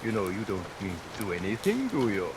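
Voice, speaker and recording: Through clear rain noise on an old radio recording comes a menacing barber. His pitch swings theatrically. He is mock-courteous and insinuating, a melodrama villain toying with his prey. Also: wildly swooping pitch, a little fast. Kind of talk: vintage radio melodrama acting.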